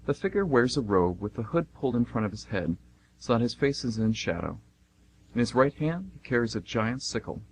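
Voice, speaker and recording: A man's voice: mid-range voice, sing-song, fairly quick, breathy edge, rough recording. A man working as announcer and narrator for a radio theatre show, reading scripted links and narration. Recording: faint noise and hum.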